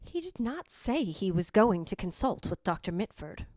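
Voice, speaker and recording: An actress voices a reserved, stern sister in an old-time radio play. She is steady and guarded, with a mid-pitched voice in a dry recording. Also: moderate pace, dry recording. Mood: suspicious.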